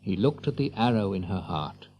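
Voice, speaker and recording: A man narrating a children's story with an expressive, theatrical storyteller's delivery. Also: on the low side, moderate pace.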